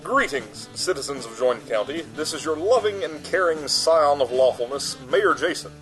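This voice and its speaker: A man's voice: on the high side, expressive, normal speed, over music, natural voice, rough recording. A man playing a pompous, self-loving small-town mayor with florid speech in a comic radio commercial.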